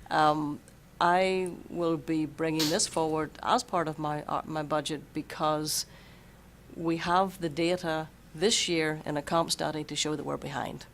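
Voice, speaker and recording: A superintendent who is losing their voice a bit, explaining a budget at a school board meeting in a measured way and repeating words while thinking. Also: medium pitch, steady tone, a bit bright, slight background noise. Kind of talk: budget presentation at a public meeting.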